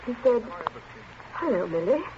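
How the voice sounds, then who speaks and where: An actress playing a timid, distraught young woman who speaks haltingly and pleadingly in 1940s radio thriller style. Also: on the high side, big pitch swings. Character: distraught timid grown daughter, confessing haltingly. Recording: noisy muffled 1940s radio recording.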